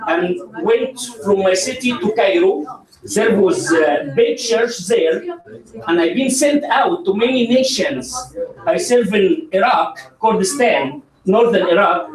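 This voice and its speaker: Man evangelist, testimony at a conference. Halting, heard from a distance in a roomy hall. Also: sits high. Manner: halting, many fillers and restarts.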